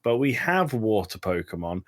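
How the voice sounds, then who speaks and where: A man's voice: lowish voice, sing-song, moderate pace, no echo, studio quality. A man co-hosting a podcast in casual banter, argumentative and exasperated, swearing freely. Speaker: podcast co-host.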